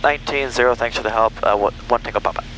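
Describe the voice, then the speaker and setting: A man's voice: medium pitch, racing. A man working the radio as a pilot, giving clipped readbacks and casual asides over a headset in a small plane. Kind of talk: aviation radio readbacks and cockpit chat. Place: small airplane cockpit in flight. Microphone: aviation headset. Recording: cockpit intercom with engine noise.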